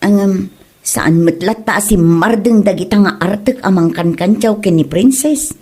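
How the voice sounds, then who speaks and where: A woman's voice: medium pitch, moderate pace, mellow, husky, lightly acted. A woman playing a concerned, scolding village wife in an Ilocano comedy drama, with an Ilocano Filipino accent.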